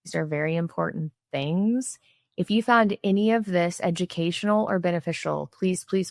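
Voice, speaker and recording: Woman podcast host, from Missouri, middle-aged, vocal fry, dry close recording, chatty. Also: fairly low pitch, dry recording. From Missouri, USA. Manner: chatty, frequent "like" and "right?" tags. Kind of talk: casual interview chat and closing sign-off.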